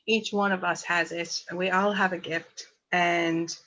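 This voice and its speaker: A woman's voice: fairly low pitch, steady tone, measured pace, a bit bright, muffled recording. A woman from Ireland, a podcast guest chatting in a rambling, laughing way. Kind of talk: casual chat.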